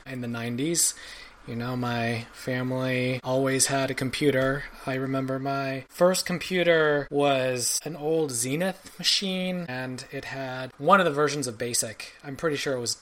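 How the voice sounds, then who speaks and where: A man's voice: mid-range voice, a bit bright. A man in his forties from Belgium, a podcast guest telling his story in a thoughtful, rambling way. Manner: thoughtful, rambling, many fillers and restarts. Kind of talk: casual interview chat.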